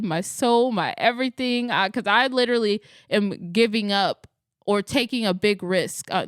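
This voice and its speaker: A woman's voice: fairly high pitch, smooth, no room sound, clean studio sound. A woman in her late twenties chatting casually to camera as an astrology vlogger, rambling and correcting herself as she goes. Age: 20s. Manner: rambling, chatty, self-correcting with frequent laughs.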